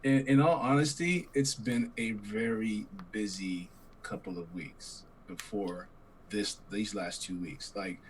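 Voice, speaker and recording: A man's voice: lowish voice, takes it slowly, a little hiss or hum, rough recording. A man co-hosting a podcast, talking in a casual, rambling way and laughing at his own stories. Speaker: podcast co-host. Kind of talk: casual chat.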